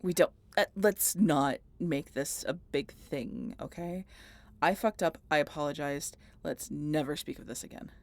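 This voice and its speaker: A woman playing a guarded, sardonic century-old vampire in an intimate audio roleplay, halting and casually profane as she talks to the listener. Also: sits low. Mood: wry, brusque.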